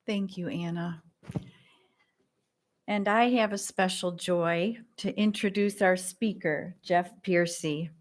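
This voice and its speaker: Woman worship leader, slow and unhurried, reads intro and offertory. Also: lowish voice, steady tone.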